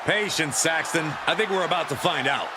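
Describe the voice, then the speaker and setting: An actor playing a knowing, spirited wrestling colour commentator in a video game. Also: male voice, on the high side, fairly quick, close to an everyday voice. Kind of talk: sports commentary. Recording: loud arena crowd noise.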